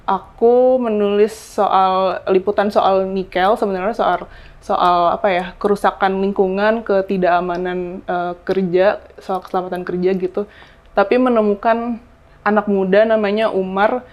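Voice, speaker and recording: Young woman pausing to find words. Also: medium pitch, flat delivery. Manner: thoughtful, searching for words with many fillers. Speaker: journalist guest. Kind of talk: casual podcast chat. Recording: slightly dull, lo-fi sound.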